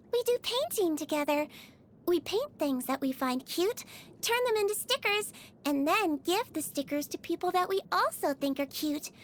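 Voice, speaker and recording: A woman voicing a small, sweet, childlike nurse in a video game, speaking in a gentle, chatty and earnest way. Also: very high-pitched, slight background noise. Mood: bright, chatty. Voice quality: childlike, cutesy. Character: small sweet girlish nurse creature, caring and cheerfully earnest. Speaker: infirmary nurse. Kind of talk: video game character voice lines.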